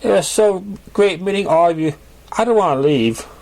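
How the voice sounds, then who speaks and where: A man's voice: high-pitched, animated, moderate pace, a little mellow, pitched above the performer's own voice, poor audio. A man voicing a sweet, affectionate, clingy female pony in a storybook reading. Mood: wistful.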